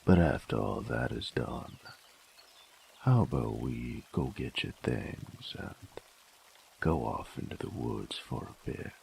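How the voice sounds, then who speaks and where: A man playing a cocky, possessive, sadistic bandit with a gravelly, teasing voice in an intimate audio roleplay. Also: extremely low pitch, lively intonation, slow pace, darkish tone, slight background noise, exaggerated voice. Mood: possessive, coaxing. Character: cocky, possessive, sadistic male bandit, rough-spoken and seductive. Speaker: voice actor.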